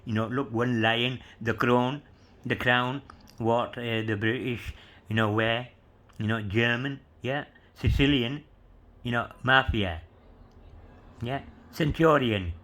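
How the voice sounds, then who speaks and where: Slow, rambling man showing coins. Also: medium pitch, steady tone, a bit bright. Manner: meandering, full of "you know" and "yeah", reads inscriptions letter by letter. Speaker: coin collector showing coins. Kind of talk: rambling show-and-tell to camera.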